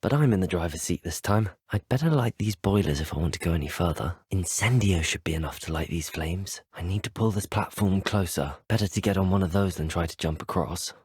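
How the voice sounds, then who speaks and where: A man's voice: low-pitched, fairly quick, hardly any pauses, darkish tone, husky, no echo, clean studio sound. A young man with a British accent playing a polite, earnest, inquisitive teenage student wizard in a video game, courteous and well-spoken. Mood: focused, determined. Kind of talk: scripted video game dialogue voice lines.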